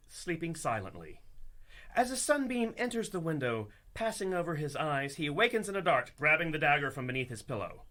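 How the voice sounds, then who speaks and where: A man's voice: fairly high pitch, fairly bright tone. A man hosting as dungeon master, narrating a scripted fantasy comedy in a theatrical storytelling style.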